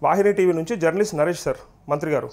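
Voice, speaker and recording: Male TV journalist, Telangana Telugu accent, high voice, rapid delivery, insistent questioning, live news commentary. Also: creaky, a little mellow. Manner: insistent, questioning, repeats points rhetorically. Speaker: journalist and TV host.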